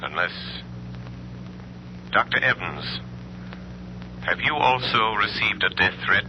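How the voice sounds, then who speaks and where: Mysterious avenger, low, slow, stern, commanding, 1930s radio drama, muffled with hum. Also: male voice, lively intonation. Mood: cool, stern. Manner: measured, stern, probing. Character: mysterious male crime fighter, cool and commanding. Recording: muffled, noisy old radio recording with hum.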